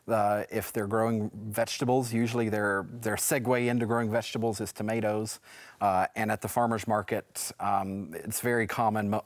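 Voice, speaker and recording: Lowish-voiced American man, chatting on a panel. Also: no room sound. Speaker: extension educator guest. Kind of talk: conversational panel interview. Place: TV studio. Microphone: lapel mic.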